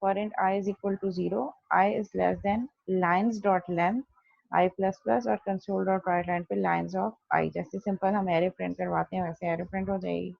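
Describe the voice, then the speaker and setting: Woman teacher with creaky voice, dull recording. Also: lowish voice, fairly even intonation, takes it slowly, no echo. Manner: didactic, step-by-step, poses and answers own questions. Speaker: programming teacher. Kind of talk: explanatory online coding lesson, Hindi-Urdu mixed with English terms.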